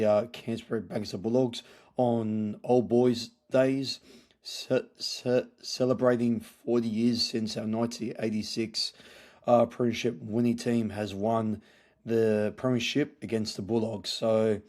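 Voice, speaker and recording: A man hosting a casual sports review podcast, his voice hoarse from yelling, halting with many fillers and restarts. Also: mid-range voice. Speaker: podcast host.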